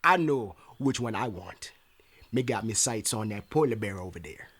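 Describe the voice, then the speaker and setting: An actor playing a brash, crude, trigger-happy biker lackey in an audio drama, excitable and slangy. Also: male voice, on the low side, moderate pace. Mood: excited, crude.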